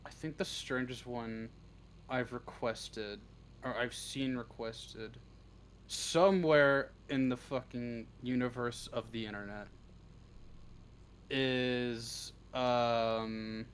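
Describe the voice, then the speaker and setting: A man with an average-pitched voice talks casually as a guest in a live interview. He hesitates, stops and starts, and swears freely. The audio has clear noise behind him. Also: steady tone, slow pace. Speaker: interview guest, script writer.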